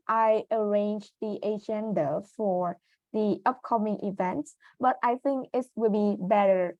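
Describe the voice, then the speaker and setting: A woman's voice: mid-range voice, darkish tone, dry recording, dull audio. A woman host runs an online Q&A in polite, procedural with a lilt and the odd hesitation. Her voice sits in a middle register and sounds dry and close to the mic, as on a video call. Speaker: webinar moderator. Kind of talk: hosting a webinar Q&A.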